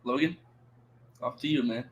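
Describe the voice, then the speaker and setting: A man's voice: mid-range voice, moderate pace, lo-fi sound. A man in his twenties from the United States, casually introducing himself as an athlete guest. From New York and Texas, United States. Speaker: college wheelchair basketball player, guest.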